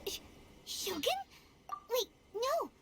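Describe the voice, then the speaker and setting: A woman voicing a small, excitable, chatty fairy girl in a video game, squeaky and childlike, with perky, cute speech. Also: slow, full character voice. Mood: startled. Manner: perky, exclamatory, cute third-person speech. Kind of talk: English video game voice acting.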